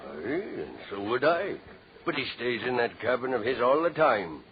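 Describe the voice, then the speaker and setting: Actor as salty, gossipy sea captain. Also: male voice, medium pitch, big pitch swings, moderate pace, natural voice. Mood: conspiratorial. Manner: salty nautical dialect, chuckling. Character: chuckling, gossipy seasoned British sea captain. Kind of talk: old-time radio drama acting. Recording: dull, noisy old radio recording.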